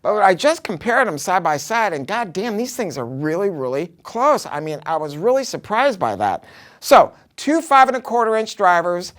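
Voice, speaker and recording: A man's voice: high-pitched, big pitch swings, moderate pace, a bit bright. A man giving a casual product review, talking informally and emphatically with some salty language.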